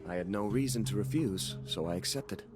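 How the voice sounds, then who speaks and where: A man acting as a composed, courteous male guild master and elite hunter in a video game. The voice is low, formal and measured. Music is clearly audible behind him. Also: natural voice. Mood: earnest.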